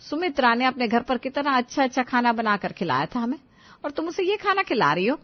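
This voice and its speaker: A woman voicing a sarcastic older mother-in-law who scolds and grumbles in an animated family story. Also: sits high, brisk, lo-fi sound. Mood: grumbling, scolding. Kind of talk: animated moral-story dialogue.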